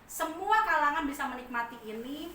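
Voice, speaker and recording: Lady from pitching her food products casually, a bit far from mic, faint noise. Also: lively intonation, normal speed, pushed and bright. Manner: informal, explanatory. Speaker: food business owner, podcast guest. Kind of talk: casual promotional interview talk. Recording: echoey room, distant mic, faint noise.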